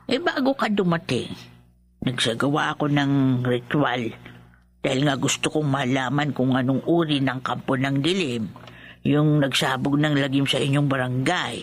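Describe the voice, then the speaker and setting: Village folk healer, low pitch, grave, knowing, ominous, emphatic, horror radio drama. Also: female voice, normal speed, a little hiss or hum. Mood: ominous warning.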